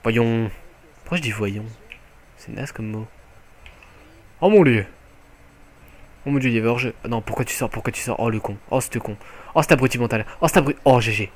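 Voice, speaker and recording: A young man with a French accent giving live commentary on his own online match from home, excitable and jokey, swearing often. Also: mid-range voice, brisk, long pauses. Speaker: gaming commentator. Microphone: headset mic.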